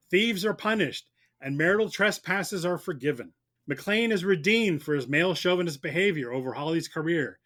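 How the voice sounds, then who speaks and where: High-voiced man making a theatrical courtroom speech. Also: a bit bright. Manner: declamatory, mock-solemn. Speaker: mock-trial lawyer on a podcast.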